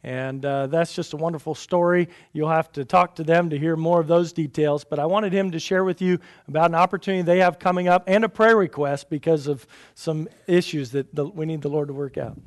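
Pastor, man, high voice, earnest church prayer and announcements. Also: brisk, few pauses.

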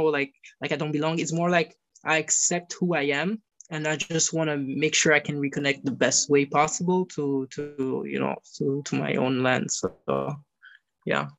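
A young man, a guest talking casually in an interview and often pausing with fillers while he searches for words in a language that is not his first. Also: on the high side, gravelly. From Italy. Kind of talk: casual conversation.

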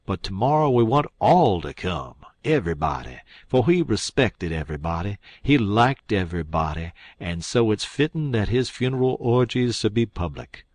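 A man's voice: on the low side, sing-song, normal speed, few pauses, darkish tone, no echo. A man voicing a smug, long-winded old con man in an audiobook, with pompous, falsely learned talk and folksy grammar. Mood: unctuous.

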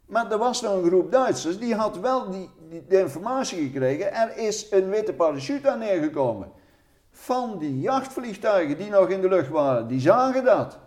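A man with a soft-edged, mellow and slightly high voice recounts stories casually. He is recorded in a roomy space, a little away from the microphone. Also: expressive, normal speed, distant mic. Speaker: interviewee recounting his mother's wartime stories. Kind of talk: casual anecdotal storytelling.

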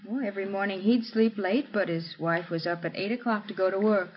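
A woman's voice: mid-range voice, fairly even intonation, fairly quick, a little hiss or hum, natural voice. A woman playing a chatty apartment house manager. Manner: chatty, obliging, a little fussy. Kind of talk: 1950s radio drama acting. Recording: muffled old radio broadcast.

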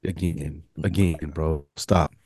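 A man's voice: rumbling low, steady tone, slow, darkish tone, husky, no room sound, studio quality. A man co-hosting a casual TV recap podcast, talking in a slangy, profane way. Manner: slangy, profane, explains by acting out lines. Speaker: podcast co-host.

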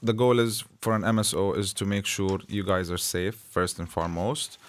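A man's voice: fairly low pitch, normal speed. A young man from Jordan, an Arabic speaker, answering interview questions casually and explaining things patiently with plain examples. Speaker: interview guest, school operations officer. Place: school radio room.

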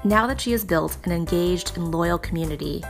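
Woman narrating a doc, kinda low voice, smooth and measured, music playing under. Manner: measured and polished. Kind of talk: scripted documentary narration. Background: background music.

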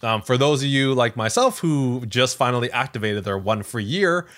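A man's voice: medium pitch, lively intonation, moderate pace, fairly bright tone. A man co-hosting a podcast in casual conversation, rambling as he goes and often doubling back to rephrase or repeat himself. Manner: rambling, frequent self-corrections and repeated phrases. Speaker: podcast co-host.

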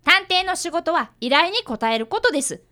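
A woman's voice: very high-pitched, expressive, normal speed, pushed and bright, full character voice. A woman playing an earnest, polite fifth-grade boy who is an apprentice detective in a radio drama. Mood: indignant, earnest. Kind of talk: radio drama acting with first-person narration.

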